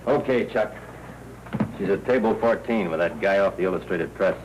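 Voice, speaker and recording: Man as American noir henchman, very low voice, businesslike, old film track with faint noise. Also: normal speed, muffled recording, natural voice. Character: businesslike gangland henchman reporting to his boss. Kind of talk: 1940s film noir acting.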